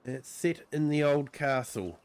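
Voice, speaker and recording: Slow, croaky New Zealand man. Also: on the high side, lively intonation, darkish tone. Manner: easygoing, lots of ums and uhs. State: croaky, with a cold. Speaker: streamer. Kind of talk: relaxed livestream gameplay commentary.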